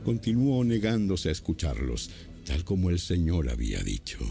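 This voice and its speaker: Male scripture narrator, very low and mellow, scripted storytelling over music. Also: very animated, normal speed, vocal fry. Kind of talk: scripted dramatized Bible narration.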